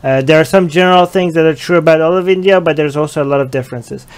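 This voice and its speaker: A young man with a smooth, resonant voice, a touch on the higher side, hosting a relaxed livestream from a small room. He talks into a headset, reading viewer comments aloud and reacting to them. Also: fast talker. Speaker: livestream host. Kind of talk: casual live-stream discussion.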